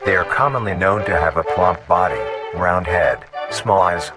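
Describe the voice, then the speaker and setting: Male documentary narrator, very deep, formal and measured script read, prominent music underneath. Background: loud music.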